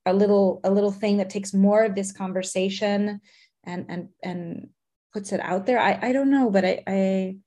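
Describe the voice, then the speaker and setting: A woman's voice: medium pitch, fairly even intonation, normal speed, warm tone. A woman interviewer speaking earnestly and hesitantly over a video call, with many restarts.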